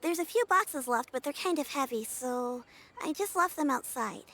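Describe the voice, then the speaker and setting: A woman voicing a shy young girl apprentice in a video game, speaking in a hesitant, halting way. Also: high voice, animated, a bit bright. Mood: sheepish, nervous.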